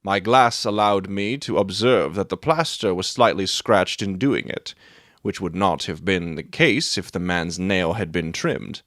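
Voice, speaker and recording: A man's voice: sits low, unhurried, a bit bright, no echo, clean studio sound. A man playing a brilliant, proud detective in a dramatic audiobook reading, lecturing in a precise, self-satisfied, matter-of-fact way.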